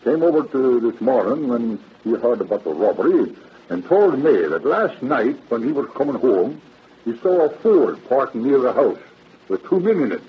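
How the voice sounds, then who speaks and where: An older night watchman in an old radio drama, talkative and eager to help. His voice is very rough and husky, swinging up and down in a folksy, hesitant drawl. The recording is dull and muffled. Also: mid-range voice, big pitch swings, moderate pace. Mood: confiding.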